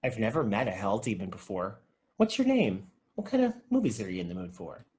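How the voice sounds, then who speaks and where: A man as an earnest, animated video clerk. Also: lowish voice, brisk. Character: earnest, dutiful male video store clerk and movie expert. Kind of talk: comedy script table read.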